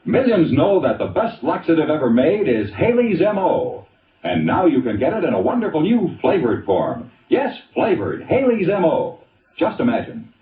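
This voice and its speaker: A man's voice: on the high side, huge pitch swings, normal speed. A man giving an enthusiastic, emphatic sales read as an announcer in an old-time radio commercial. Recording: tinny, echoey, distant vintage radio recording.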